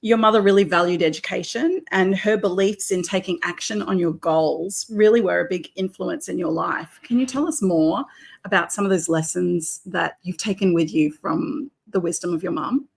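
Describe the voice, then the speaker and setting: Woman interviewer, low gravelly voice, encouraging. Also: normal speed. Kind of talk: interview questions.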